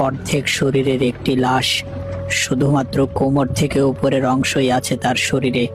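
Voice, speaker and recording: Male narrator for dramatic horror tales, medium pitch, prominent music bed. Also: completely flat delivery, moderate pace. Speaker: storyteller. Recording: loud music under the voice.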